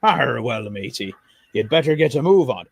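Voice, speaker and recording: A man's voice: mid-range voice, animated, moderate pace, gravelly, a little hiss or hum. A man voicing a hearty, pirate-talking male dockside engine in a storybook reading. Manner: pirate-like, hearty, says "arr" and "matey".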